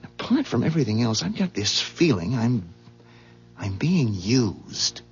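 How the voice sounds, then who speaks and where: An actor playing a rattled, suspicious husband in a 1970s radio drama, stammering and emphatic on a muffled recording. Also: male voice, mid-range voice, big pitch swings, unhurried, over music. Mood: stunned, worked up.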